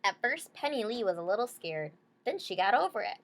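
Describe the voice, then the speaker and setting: A woman's voice: mid-range voice, big pitch swings, fairly quick, a little hiss or hum. Woman reading a storybook to children. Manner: checks in with listeners using short tag questions. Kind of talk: read-aloud with casual explanations to children.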